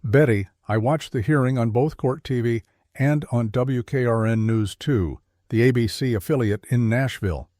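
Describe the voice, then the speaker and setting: Someone as a dry, deadpan mock reverend interviewer. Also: medium pitch, no room sound, natural voice. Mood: measured, needling. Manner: deadpan, measured, scripted interrogation. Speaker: host. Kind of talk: scripted satirical interview.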